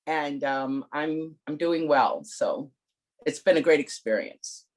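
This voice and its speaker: A woman from Connecticut speaks in a low voice over a video call. She sounds friendly and easygoing. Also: takes it slowly, darkish tone. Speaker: entrepreneur.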